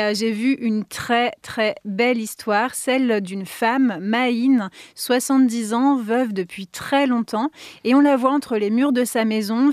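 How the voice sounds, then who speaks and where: A woman's voice: fairly high pitch, darkish tone, no room sound, clean studio sound. A woman hosting a radio show, talking in a conversational, partly scripted way with frequent hesitations and light laughs. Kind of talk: semi-scripted radio commentary. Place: radio studio.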